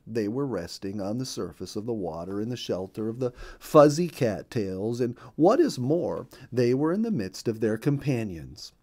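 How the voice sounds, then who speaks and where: A man narrating a children's story as a storyteller, with emphatic, deliberate phrasing. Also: medium pitch, mellow.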